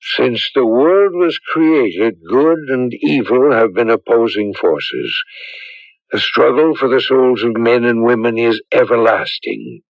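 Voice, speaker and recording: An actor playing a grave, hesitant village priest in a radio drama, solemn and suspicious. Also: male voice, medium pitch, animated, no room sound. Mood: solemn, foreboding. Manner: halting, solemn, clears throat. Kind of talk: 1980s radio drama acting. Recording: dull lo-fi radio broadcast recording.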